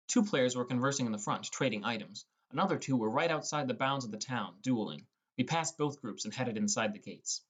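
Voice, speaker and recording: Young male narrator, mid-range pitch, scripted first-person fantasy storytelling, audiobook reading. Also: fairly bright tone.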